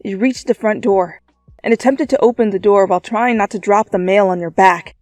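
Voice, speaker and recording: Female narrator, expressive and playful story reader, close dry sound, soft music underneath. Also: mid-range voice, fairly quick, no room sound. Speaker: fan fiction reader.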